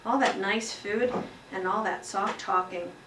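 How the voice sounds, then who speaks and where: A woman voicing a small, earnest pet hamster who tells his classroom story with expressive, emphatic delivery. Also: medium pitch, unhurried, lightly acted. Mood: earnest, content. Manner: expressive, exclaiming, emphatic read-aloud delivery. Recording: roomy echo, distant mic, faint noise.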